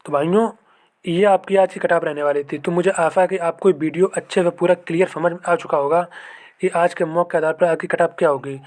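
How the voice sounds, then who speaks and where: A man's voice: fairly high pitch, fairly even intonation, fairly quick, a little mellow. A young man explains informally to viewers, repeating himself and often checking in with an okay. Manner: repetitive, addresses viewers as brothers, frequent "okay" check-ins. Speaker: exam-prep video host, fellow candidate. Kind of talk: informal explainer of mock test scores and cut-offs.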